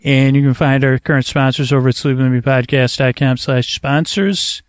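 A man with a creaky voice hosting a sleep podcast, meandering and halting through a rambling bedtime monologue. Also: mid-range voice, steady tone, dry recording. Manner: meandering, halting, trailing off into tangents.

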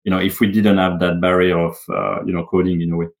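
A man chats as a guest in a casual interview. His voice sits a little low. He hesitates often, filling gaps with fillers and restarting his sentences as he works toward his point. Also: level tone, fast, vocal fry.

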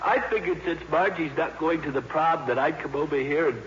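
A man's voice: on the high side, brisk, fairly bright tone, close to an everyday voice. An actor playing an eager, naive, talkative teenage boy in a 1950s radio drama, with a gushing, awkward manner. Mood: earnest, awkward. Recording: muffled, reverberant, noisy old radio recording.

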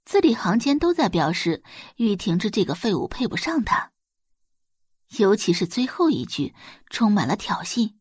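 A woman reading scripted dramatic fiction as an audiobook narrator, in an expressive storytelling manner. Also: medium pitch, normal speed, slightly rough, no echo, studio quality.

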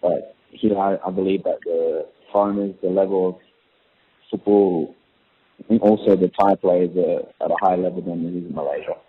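A young man, a professional footballer, answering interview questions in a relaxed, hesitant way with many ums. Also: low-pitched, normal speed, slightly rough. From Australia. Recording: tinny phone-line audio.

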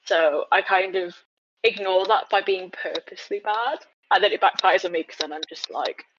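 A woman's voice: medium pitch, moderate pace, background noise. A young British woman chatting casually on a podcast, wry and self-deprecating. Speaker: podcast guest. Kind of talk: casual chat. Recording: dull lo-fi recording.

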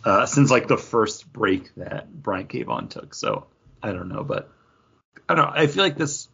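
A man's voice: on the low side, lively intonation, normal speed, creaky, bright, forceful tone, husky. A man co-hosting a casual comic book review, hesitant as he thinks aloud. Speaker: co-host of a comics review show. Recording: dull lo-fi audio.

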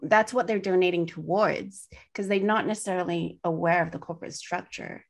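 A woman with a soothing voice that sits a little low in pitch. She chats casually as a podcast co-host. She wanders through her thoughts with fillers and restarts. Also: steady tone, fairly quick, gravelly. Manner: meandering, self-correcting sentences with many fillers. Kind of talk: casual conversation.

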